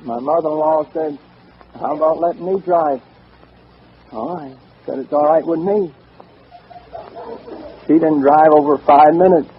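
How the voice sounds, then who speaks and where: A man's voice: on the high side, steady tone, measured pace, pauses often, lightly acted. A man with an American accent playing a folksy comedian, telling gags in old-time radio patter. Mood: joking, easygoing. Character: folksy male banjo comedian telling tall mother-in-law gags. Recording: muffled, faintly noisy vintage radio recording.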